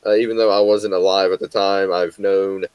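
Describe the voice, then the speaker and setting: A man's voice: deep voice, little pitch movement, normal speed, darkish tone, clean tone, dry recording. A young American man co-hosting a casual talk show, rambling with lots of fillers and restarts. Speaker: co-host. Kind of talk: casual chat.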